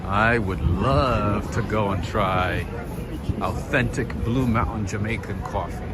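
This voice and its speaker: A man's voice: lowish voice, slow. A man hosting a live walking tour, chatting casually and reading viewer comments aloud as he walks outdoors. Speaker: livestream walking tour host. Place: outdoors on busy city streets. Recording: loud street noise from outdoors.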